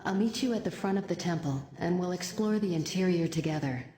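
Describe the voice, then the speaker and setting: Female actor as elegant haughty teacher, mellow lowish voice, composed, condescending, game cutscene, echoey hall. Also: brisk, natural voice. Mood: cool, haughty. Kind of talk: dramatic video game cutscene acting. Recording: echoey hall with long reverb.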